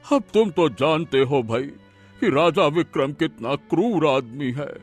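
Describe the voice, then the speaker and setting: A man's voice: on the high side, animated, unhurried, faint music behind. A man playing a worried, downcast village headman in a cartoon, with a plaintive, folksy way of talking. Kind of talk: animated Hindi moral-story acting.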